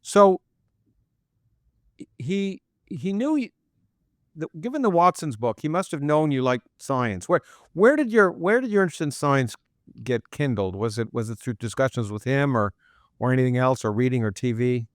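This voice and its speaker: A man hosting an interview podcast, talking in halting runs with many restarts and repeated words. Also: mid-range voice, big pitch swings, no room sound, clean studio sound. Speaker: podcast host. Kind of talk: interview podcast, intro then conversation. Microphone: remote interview setup.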